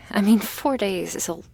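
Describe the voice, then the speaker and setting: A woman playing a shy, gentle vampire girl in an ASMR roleplay, speaking softly and hesitantly, awkward but reassuring, often slipping into a whisper. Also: mid-range voice, heavily acted, rough recording. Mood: soothing, apologetic. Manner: hesitant, halting, soft-spoken with breathy whispers. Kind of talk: ASMR roleplay addressed to the listener.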